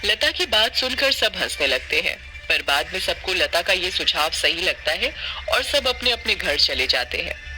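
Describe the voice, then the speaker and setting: Male narrator for cartoon tales, high-pitched voice, clear music bed behind. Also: big pitch swings, a little slow, sharp tone, low-quality recording. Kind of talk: scripted cartoon story narration.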